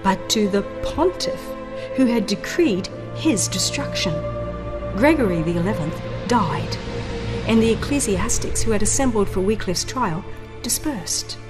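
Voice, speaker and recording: A woman reading scripted dramatic audiobook narration in a formal, solemn and deliberate storytelling manner. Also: mid-range voice, lively intonation. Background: background music.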